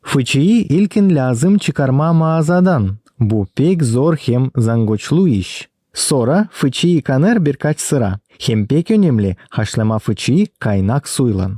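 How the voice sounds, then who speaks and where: A man's voice: medium pitch, sing-song, takes it slowly, dark tone, no echo, studio quality. A man voicing a practical village worker in a cartoon, explaining chores in a matter-of-fact way. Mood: instructive. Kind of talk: cartoon character dialogue. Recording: music behind the dialogue.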